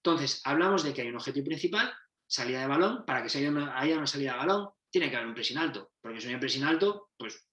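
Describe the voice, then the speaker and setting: Man with a bright voice, explaining in a roomy space. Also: medium pitch, expressive, fast, highs cut off. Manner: explanatory, restarts and self-corrections. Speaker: football coach and consultant. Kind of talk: coaching methodology presentation. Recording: roomy echo.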